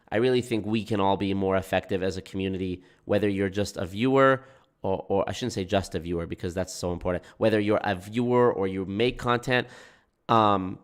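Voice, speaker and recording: A man with a nasal, congested voice, talking casually to his livestream chat as a content creator and often restarting his sentences. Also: deep voice. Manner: rambling, self-correcting, frequent restarts. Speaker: anti-MLM YouTube creator.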